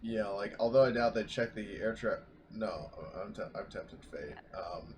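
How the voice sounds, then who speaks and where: A man's voice: lowish voice, normal speed. A man playing a tabletop game over voice chat, talking casually in hesitant pieces that often trail off. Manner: hesitant, piecemeal delivery with many trailing phrases. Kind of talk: casual chat during online roleplaying game. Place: online voice call. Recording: noisy online voice chat.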